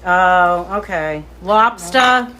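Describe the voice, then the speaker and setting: A woman with a light Boston accent hosting a live cooking demo from her kitchen, chatty and excitable as she talks to viewers. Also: fairly low pitch, very slow. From Boston area. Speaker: cooking show host. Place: home kitchen, indoors.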